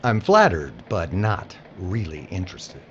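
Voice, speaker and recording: A quiet, wary workman, speaks very low and tersely. He is a restrained, reluctant veteran in a radio drama, his pitch moving widely on a slightly dull recording. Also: wildly swooping pitch, a little slow, background noise, full character voice. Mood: guarded. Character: quiet, reluctant, guarded veteran male worker. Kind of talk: audio drama acting.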